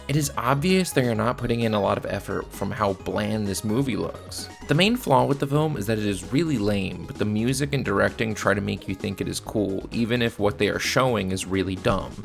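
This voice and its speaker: A man with a low voice that often drops into fry. He delivers a blunt, casual scripted review, with faint music underneath. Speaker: video reviewer. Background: faint background music.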